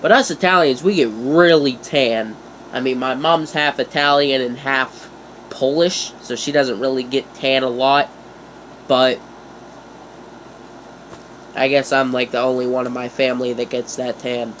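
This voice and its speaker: A man's voice: medium pitch, steady tone, unhurried, a bit bright, slight background noise, low-quality recording. A young man with a stuffy nose from a cold, rambling casually and jokingly to his channel viewers. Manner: rambling, jokey, self-mocking asides. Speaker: YouTuber. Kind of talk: casual vlog talk.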